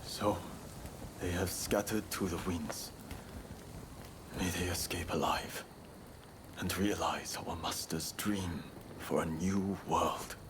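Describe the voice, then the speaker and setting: A man playing a doomed young rebel samurai, sorrowful and devoted, speaking in a breathy, halting way in a game cutscene. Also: sits low, steady tone, background noise. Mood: resigned. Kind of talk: dramatic video game cutscene acting.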